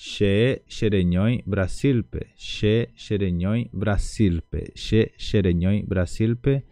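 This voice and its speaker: A man with a Brazilian Portuguese accent teaching an online language lesson, slowly repeating each word syllable by syllable. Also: lowish voice, mellow, no room sound, faint music behind.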